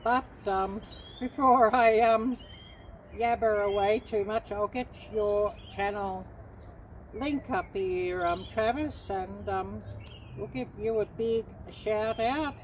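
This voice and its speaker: A middle-aged woman from Australia hosting a casual livestream, chatting unhurriedly to viewers with many pauses and ums. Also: medium pitch, slight background noise, low-quality recording. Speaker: livestream host.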